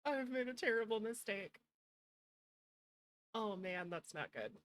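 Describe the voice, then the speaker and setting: A woman's voice: a little high, expressive, measured pace. A woman chatting casually on a livestream in a playful way, swearing freely and muttering to herself. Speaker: streamer, VTuber host.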